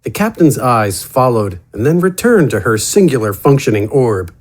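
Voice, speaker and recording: Male fantasy narrator with animated pitch. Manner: dramatic, deliberate storytelling. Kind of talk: scripted fantasy story narration.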